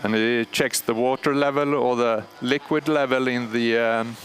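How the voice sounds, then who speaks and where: Brief: Swedish male technician, mid pitch, measured commentary with lots of "uh", machinery noise clearly behind. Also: fairly even intonation, a bit bright. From Sweden. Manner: measured, explanatory, frequent pauses and fillers. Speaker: superintendent of a historic radio transmitter start-up. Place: large machine hall at a radio station. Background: humming machinery and alarm bells.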